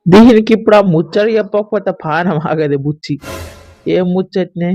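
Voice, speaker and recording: A dull, lo-fi cartoon voice of a bossy, know-it-all village wife , acted by a man, pitched high. The delivery is emphatic and complaining, in a Telangana accent, with faint music underneath. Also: moderate pace, a little mellow. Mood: gossipy, emphatic. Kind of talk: comic village gossip dialogue in a cartoon.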